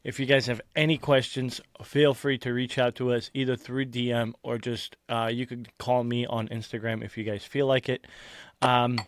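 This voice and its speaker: A man's voice: medium pitch, little pitch movement, moderate pace, dry recording. A man hosting a casual podcast, talking loosely with lots of "uh" and "um" as he reads out sponsors and giveaways. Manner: loose, rambling, lots of filler words. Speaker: podcast host.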